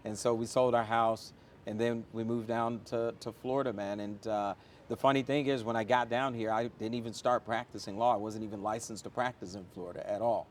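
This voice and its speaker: A man's voice: fairly low pitch, steady tone, a little fast, slight background noise. A middle-aged American man answering interview questions conversationally, with an emphatic, motivational manner and a storyteller's use of examples. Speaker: interview guest, airport chief executive.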